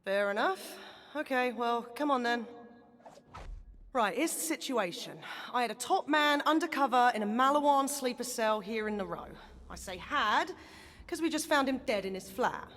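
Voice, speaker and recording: A woman's voice: a little high, animated, moderate pace, a bit bright, in a large hall. A woman with a British accent playing a blunt, impatient resistance fighter in a video game. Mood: exasperated. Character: gruff, no-nonsense female resistance fighter, bitter, exasperated. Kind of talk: video game character dialogue.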